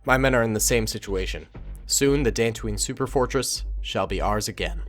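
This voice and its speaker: A man's voice: mid-range voice, normal speed, a bit bright, faint music behind, pitched below the performer's own voice, lightly acted. A man voicing dutiful clone soldiers and commanders in a fan war story, with clipped military delivery. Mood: businesslike. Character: brisk, dutiful male clone army officers and soldiers.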